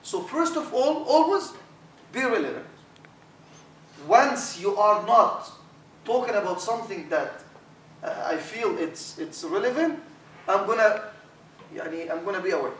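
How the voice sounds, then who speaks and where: A middle-aged Arabic-accented man teaching a workshop as an imam, his voice high and animated, words often repeated. He is picked up from across an echoey lecture room. A faint hiss sits under the sound. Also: a little slow, long pauses, recorded from a distance. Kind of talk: workshop talk to trainees.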